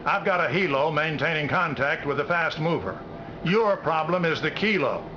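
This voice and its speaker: A man's voice: on the high side, lively intonation, moderate pace, natural voice. An actor playing a blunt, commanding middle-aged admiral giving a submarine captain his orders, from a game video. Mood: stern. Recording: dull, noisy old game video audio.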